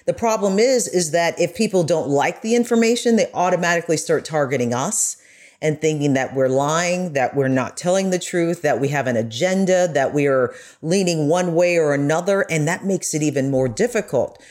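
A woman's voice: sits low, moderate pace, darkish tone. A middle-aged woman, a journalist guest, speaking earnestly and emphatically in a conversational interview.

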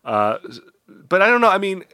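A man's voice: sits high, very animated, moderate pace, pushed and bright, clean tone, no echo. A man hosting a music podcast in casual chat, congested and getting over an illness, talking in a rambling, self-deprecating way. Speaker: podcast host.

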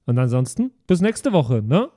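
A man's voice: medium pitch, big pitch swings, normal speed, warm tone. A man hosting a podcast in a casual, playful way, chatting and reading out thanks. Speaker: podcast host. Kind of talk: casual chat and thank-you list.

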